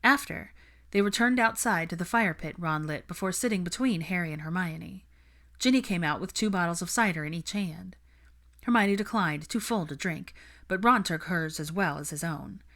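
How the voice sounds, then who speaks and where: A woman's voice: fairly low pitch, moderate pace, fairly bright tone. A woman reading a story aloud as an audiobook narrator, in scripted third-person narration. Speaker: audiobook narrator of fan fiction.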